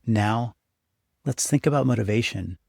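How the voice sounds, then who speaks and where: A man narrating a scripted guided meditation as the guide, speaking in an unhurried, encouraging, instructive way. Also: medium pitch, vocal fry, darkish tone, no echo, studio quality. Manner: unhurried, instructive, encouraging second-person address.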